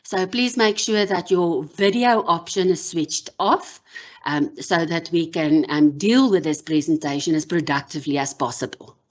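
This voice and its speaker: A woman whose first language is Afrikaans gives a measured online workshop as a university lecturer, with frequent pauses and ums. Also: on the low side. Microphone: video call.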